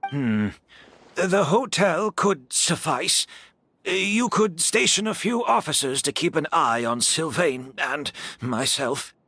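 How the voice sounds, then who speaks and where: An actor playing a frail, calm, resigned gentleman in a video game, speaking in a measured, polite way. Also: on the high side, husky, heavily acted.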